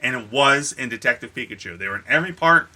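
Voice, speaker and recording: A man's voice: mid-range voice, lively intonation, fast, pushed and bright. A man co-hosting a podcast in casual, opinionated chat, swearing freely as he goes. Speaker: podcast co-host.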